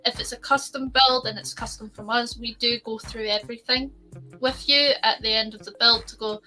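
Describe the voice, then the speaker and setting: Bright-voiced Scottish woman. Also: fairly high pitch, fairly even intonation, normal speed, faint music behind, rough recording. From Scotland. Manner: chatty, laughs easily. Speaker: co-host, designer. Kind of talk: casual business podcast chat.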